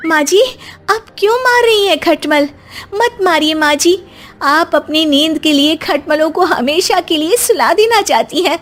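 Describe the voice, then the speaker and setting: A woman's voice: extremely high pitch, animated, unhurried, a bit bright, faint music behind, pitched above the performer's own voice, exaggerated voice. A woman voicing a pious, naive young daughter-in-law who pleads and moralizes in a comic moral story. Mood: pleading, preachy. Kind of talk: Hindi moral comedy storybook cartoon acting.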